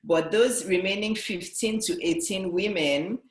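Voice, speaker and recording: A woman's voice: mid-range voice, unhurried. A woman with a Nigerian-British accent speaks earnestly as a business coach, stacking phrase on phrase to drive her point home. Her voice sits mid-range and carries a roomy echo. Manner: earnest, repetitive, building points by restating. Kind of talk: motivational talk to camera.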